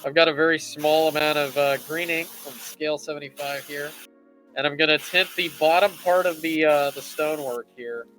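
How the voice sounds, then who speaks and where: A man's voice: on the high side, fairly even intonation, moderate pace, a bit bright, soft background music, rough recording. A man hosting a casual live painting tutorial, thinking aloud and rambling as he works. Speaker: livestream host teaching miniature painting.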